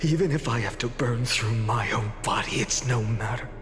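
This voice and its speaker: An actor voicing a solemn, self-sacrificing male warrior in a fantasy game, with a grave, hushed and determined delivery. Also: mid-range voice, normal speed, lightly acted. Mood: resolute. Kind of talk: dramatic fantasy game voice acting. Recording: long reverb under clear background music.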